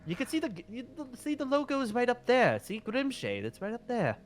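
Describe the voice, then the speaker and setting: A man playing a polite, eccentric guild wizard in improvised roleplay, genteel and halting. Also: extremely high pitch, wildly swooping pitch, heavily acted. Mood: politely insistent. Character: polite, eccentric male guild wizard who sniffs out dragons.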